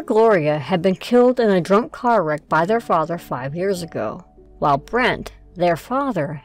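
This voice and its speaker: A woman narrating a scripted audio drama as its storyteller. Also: lowish voice.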